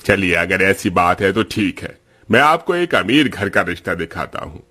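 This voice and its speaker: A man's voice: low-pitched, expressive, normal speed, bright, forceful tone, slightly rough, faint music behind, exaggerated voice. A man playing a polite, obliging priest who arranges marriages in a cartoon story. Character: obliging adult male priest matchmaker.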